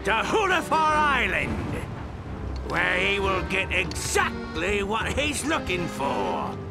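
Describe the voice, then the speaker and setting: High, creaky oaf. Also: male voice, huge pitch swings, takes it slowly, music in the background, heavily acted. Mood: blustering. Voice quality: gravelly, creaky. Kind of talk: animated video game character acting.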